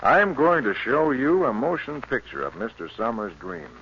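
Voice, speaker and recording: A man playing an earnest American psychiatrist in a 1950s radio drama, explaining things in a deliberate, emphatic way. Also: mid-range voice, very animated, creaky, slight background noise. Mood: intense, confiding. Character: eminent psychiatrist, earnest, careful, proud man. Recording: muffled 1950s radio recording.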